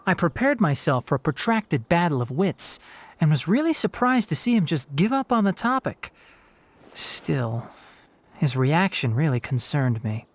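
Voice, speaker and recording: An actor playing a young, earnest and principled scholar in a video game, speaking in a measured, formal, guarded way. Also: male voice, on the high side, sing-song, slight background noise. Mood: concerned, reflective.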